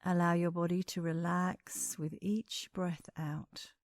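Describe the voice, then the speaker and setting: A woman leading a guided meditation as an instructor, speaking in a calm, soothing, measured way with long pauses. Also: lowish voice, no room sound, clean studio sound.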